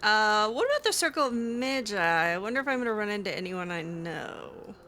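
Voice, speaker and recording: A woman's voice: medium pitch, animated, brisk, sharp tone, a little hiss or hum. A woman improvising casual in-character dialogue as she plays a role-playing game, chatty and hesitant with a lightly sardonic edge. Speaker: gamer role-playing her character aloud.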